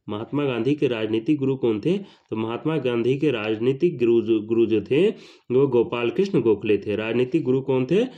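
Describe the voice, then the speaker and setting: A man teaching an exam question-and-answer lesson, repeating questions and answers for emphasis. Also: medium pitch. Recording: slightly dull lo-fi sound.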